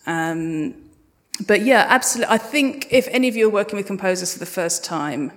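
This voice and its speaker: A woman's voice: mid-range voice, animated, fairly quick. A woman speaking conversationally as a guest sound designer on a live panel, answering audience questions. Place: live podcast festival stage in a hall. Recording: reverberant hall at a live event.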